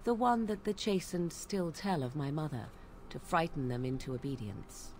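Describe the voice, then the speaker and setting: An aloof young witch of the wilds in a fantasy game, with a slightly low voice that moves freely up and down. She speaks in formal, archaic phrases with sardonic poise, over faint noise. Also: animated, darkish tone. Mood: dry, sardonic. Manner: archaic, formal, measured storyteller's diction. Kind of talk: dramatic video game voice acting.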